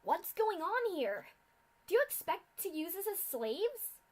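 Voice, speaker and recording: An indignant young pony filly, as a woman reader puts her on, protesting at full voice. Her voice rides high and loud in a storybook reading. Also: big pitch swings, a little slow, full character voice. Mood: defiant. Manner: indignant, shouting. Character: defiant young female pegasus filly.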